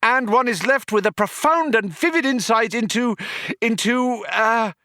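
A man's voice: extremely high pitch, unhurried, sharp tone, a little hiss or hum, voice pushed up, exaggerated voice. A man voicing a flustered young Earthman who flounders and hesitates as he bluffs his way through. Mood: bright, floundering.

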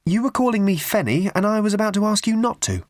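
A man's voice: high voice, sing-song, fast, dry recording, voice pushed up. A man voicing a wry young woman who speaks in a dry, playful but firm way. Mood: teasing.

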